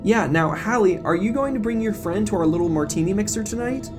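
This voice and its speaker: A syrupy young sorority sister, condescending and full of false sympathy, performed by a man. The voice is raised and sing-song lively for audio drama, with music behind. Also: sits high, brisk, voice pushed up. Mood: sugary, condescending. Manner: sugary, falsely sympathetic, prying. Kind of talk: audio drama character acting.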